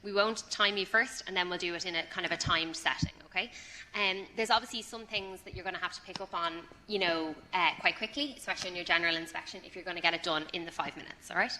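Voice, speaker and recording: A woman's voice: fairly low pitch, quick pace, sharp tone, slight background noise. A woman tutoring medical students through a practical exam demo, chatty and encouraging. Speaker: clinical skills tutor. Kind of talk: hands-on teaching demo.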